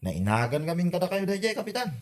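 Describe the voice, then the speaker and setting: A man's voice: high voice, big pitch swings, fast talker. A man acting an earnest, aggrieved villager in an Ilocano comedy drama, with an Ilocano accent. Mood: insistent. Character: earnest villager, aggrieved over withheld prize money.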